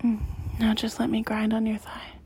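A woman performing an intimate audio roleplay in a soft, close whisper. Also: a little high, completely flat delivery, normal speed, husky.

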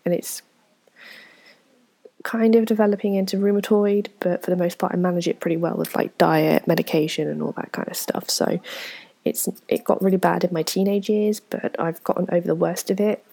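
Young woman, twenties, husky voice, mellow tone, frank and rambling, whispery asides. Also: on the low side, fairly even intonation, fairly quick. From England. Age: 20s. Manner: frank, self-deprecating, rambling with many ums and soft whispery asides. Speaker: vlogger answering viewer questions. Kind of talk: casual Q&A chat to camera.

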